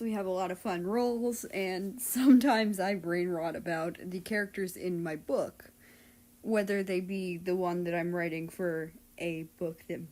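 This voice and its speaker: A woman's voice: on the low side, a little mellow. A woman who reads stories aloud and chats casually as the host of her channel. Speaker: audiobook-style reader and channel host. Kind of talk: scripted story narration and casual outro chat.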